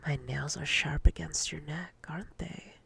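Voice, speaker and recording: Woman acting breathy, domineering female boss. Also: deep voice, steady tone, sharp tone, full character voice. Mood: teasing, possessive. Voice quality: breathy, often whispered. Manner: slow, deliberate, commanding, menacingly teasing. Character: domineering, predatory female boss toying with a nervous employee. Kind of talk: intimate roleplay ASMR.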